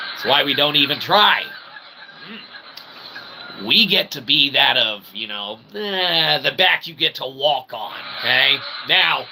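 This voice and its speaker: Higher-pitched, gravelly rambling man. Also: pushed and bright, slight background noise, rough recording. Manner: self-deprecating, folksy, repeats tag questions like okay and right. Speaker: host of a web show. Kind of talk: rambling casual monologue to the audience.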